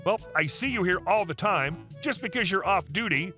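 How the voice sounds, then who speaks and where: Man voicing an entitled young woman customer, pushy, indignant, lower voice, faint music behind. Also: animated, moderate pace, pushed and bright. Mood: accusing. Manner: demanding, indignant.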